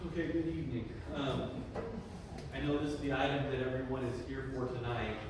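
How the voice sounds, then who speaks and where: A Texan man presents to a public meeting as city planning director, easygoing and conversational, often pausing on "um". His voice sits at an ordinary middle pitch and comes across from a distant mic. A long reverb rolls through the big chamber, with a faint hiss underneath. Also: steady tone. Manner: conversational, plain-spoken with frequent ums. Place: large echoey council chamber. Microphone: room microphone heard from a distance. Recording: echoey hall from far off.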